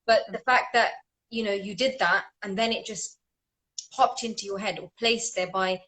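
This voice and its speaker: A woman's voice: medium pitch, fairly even intonation, moderate pace, fairly bright tone, poor audio. A woman hosting an interview, talking enthusiastically and often restarting her sentences. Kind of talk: casual conversational interview.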